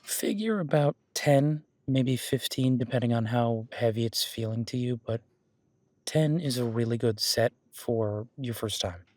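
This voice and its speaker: A man's voice: mid-range voice, measured pace, darkish tone, dry recording, natural voice. A man playing a friendly, patient werewolf boyfriend who coaches a workout in a casual, instructive audio roleplay. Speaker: gym training coach in roleplay.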